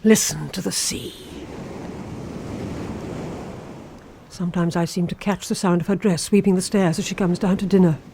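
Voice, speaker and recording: Sinister, insinuating housekeeper over background noise. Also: female voice, on the low side, fairly even intonation, fast talker, creaky, dark tone. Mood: eerie, insinuating. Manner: insinuating, intimate, lingering on phrases. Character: sinister, obsessive housekeeper devoted to her dead mistress. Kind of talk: radio drama acting.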